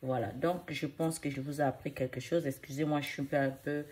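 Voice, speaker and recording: Woman vlogger with a cold, low voice, chatty rambling how-to. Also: fairly even intonation, brisk, darkish tone. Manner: rambling, repeats herself, many fillers. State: has a cold and cough. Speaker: hair care vlogger. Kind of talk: casual how-to demonstration.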